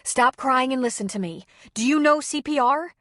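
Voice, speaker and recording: A woman's voice: high-pitched, moderate pace, fairly bright tone, no echo, studio quality, pitched above the performer's own voice. A woman voicing a practical adult woman who gives firm, urgent instructions.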